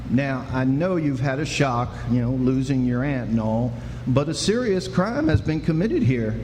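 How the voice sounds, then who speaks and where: A man's voice: medium pitch, expressive, normal speed, a little mellow, lightly acted. Man playing folksy frontier sheriff in echoing hall. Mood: calming, wry. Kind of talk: live Western radio drama acting. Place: live stage performance indoors. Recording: noisy live stage, reverberant hall.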